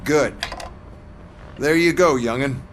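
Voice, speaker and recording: A man's voice: medium pitch, takes it slowly, fairly bright tone. Smug, condescending male boss over faint noise. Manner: condescending, sardonic. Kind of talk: scripted video game acting.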